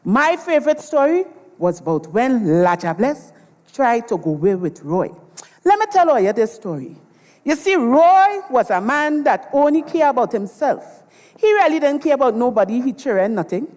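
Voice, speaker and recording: Trinidadian teller of old family tales played by a woman, highish voice, dramatized dialect, reverberant hall. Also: big pitch swings, normal speed, darkish tone. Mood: relishing, theatrical. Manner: theatrical, addresses the audience. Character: folklore storyteller recalling a grandparent's old tales. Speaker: storyteller, narrator. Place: large performance hall, on stage. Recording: echoey hall with long reverb.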